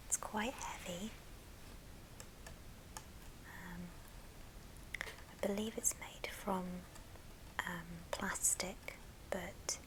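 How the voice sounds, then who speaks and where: A woman whispering gently and breathily in an unhurried ASMR show-and-tell style. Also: fairly low pitch, rough recording. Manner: gentle, unhurried, soft-spoken. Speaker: ASMR video creator.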